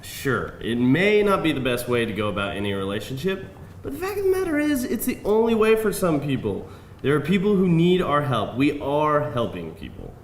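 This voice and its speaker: A man playing a smooth-talking, pleading businessman with a persuasive, earnest manner at a live table read. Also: a little high, wildly swooping pitch, moderate pace. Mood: defensive, insistent. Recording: roomy live event, clear background noise.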